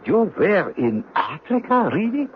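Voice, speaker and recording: An actor playing an imperious German SS officer in an old radio drama, speaking in a formal, clipped way. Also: male voice, high voice, very animated, measured pace. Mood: confiding. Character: imperious, haughty German SS officer, friendly to comrades. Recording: muffled radio drama recording, faint noise.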